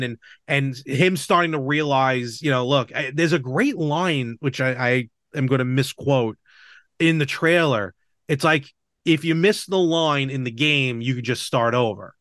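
Higher-pitched man, close dry podcast chat. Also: normal speed, a bit bright, no room sound. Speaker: podcast co-host. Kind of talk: casual chat about movies and games.

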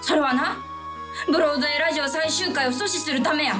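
A very high, piercing voice over clear background music: an actress as a fiery female taxi driver in a comic radio drama. She speaks broad Kyoto dialect, emphatic and scolding, with a big-hearted determination. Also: fast, close to an everyday voice. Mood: rousing resolve.

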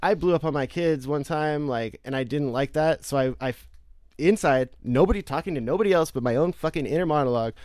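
A male podcast guest with a fairly high voice who talks in a casual, reflective way. He swears freely and keeps checking in with "you know what I mean?". The recording is dry and close. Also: fairly quick, darkish tone, dry recording.

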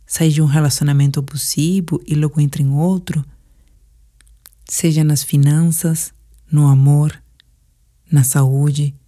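Low, mellow Brazilian woman guiding meditation. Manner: calm, soothing, measured delivery. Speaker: guided meditation instructor. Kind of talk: guided sleep meditation narration.

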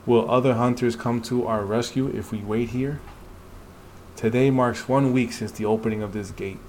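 An urgent, wary dungeon raider from a comic, acted out by a man reading aloud. The voice sits at a middle pitch and sounds anxious. Some noise runs behind it. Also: fairly even intonation, moderate pace, a little mellow, close to an everyday voice. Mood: earnest, explanatory. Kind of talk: dramatic read-aloud of comic dialogue.

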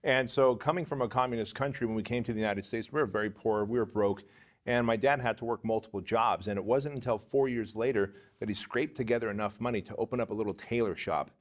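A man from Armenia co-hosting a podcast in a studio, telling stories in an animated, casual way. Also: fairly low pitch, quick pace. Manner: animated storyteller, upbeat show opener. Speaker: podcast co-host.

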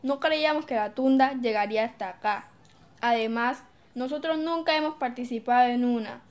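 A woman's voice: on the high side, normal speed, close to an everyday voice. A woman acting a worried, unsure villager in an educational radio drama.

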